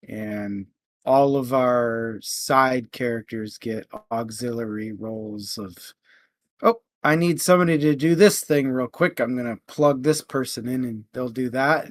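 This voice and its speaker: A man hosting a casual book discussion, thinking aloud in a halting way. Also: medium pitch, a bit bright, dry recording. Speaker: book discussion show host.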